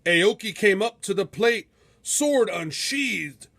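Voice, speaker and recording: Male storybook narrator, high register, unhurried delivery, dramatic and measured, suited to heroic verse. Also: animated, bright, forceful tone. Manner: theatrical, measured, epic storytelling. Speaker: storybook reader.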